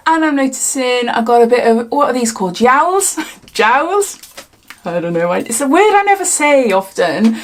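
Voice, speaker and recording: Chatty British woman in her forties. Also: on the high side. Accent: British English. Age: 40s. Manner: chatty and rambling, with frequent laughs. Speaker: beauty vlogger. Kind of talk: casual makeup tutorial chat.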